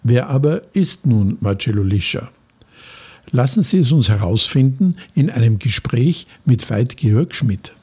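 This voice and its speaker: Man, scripted introduction, announcer-like, husky, dark tone. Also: medium pitch, lively intonation, unhurried.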